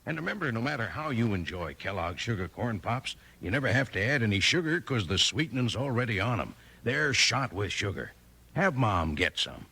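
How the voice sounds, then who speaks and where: An American man delivering a folksy 1950s radio commercial as an announcer, with a husky voice. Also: on the low side, bright, forceful tone. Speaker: advertising announcer. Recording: old 1950s radio recording.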